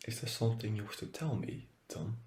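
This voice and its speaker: A man playing a grave, middle-aged wizard professor in an audio drama, measured and formal. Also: on the low side, darkish tone, natural voice. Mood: grave, probing.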